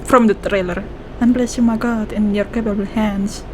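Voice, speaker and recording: A woman with an Indonesian accent giving casual, expressive reactions as a gaming streamer, switching between languages. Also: medium pitch, normal speed, poor audio. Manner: expressive, mixes two languages, repeats game lines. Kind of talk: casual game reaction commentary. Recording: clear background noise from game.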